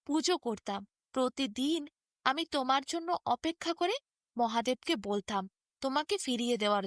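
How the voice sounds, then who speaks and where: A woman's voice: high voice, fairly bright tone, dry recording, studio quality. A woman voicing a devout, emotional young mother pleading with her long-lost husband in a fairy tale.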